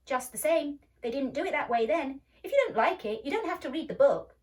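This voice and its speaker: A woman's voice: sits high, animated, a little fast, far from the mic, voice pushed up. A woman voicing a superior, scornful young boy in a storybook, with an emphatic, condescending manner. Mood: scornful, loud.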